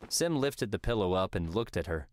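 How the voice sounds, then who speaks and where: A man's voice: fairly low pitch, expressive, moderate pace. A man reading scripted story narration as an audiobook narrator. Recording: music under the voice.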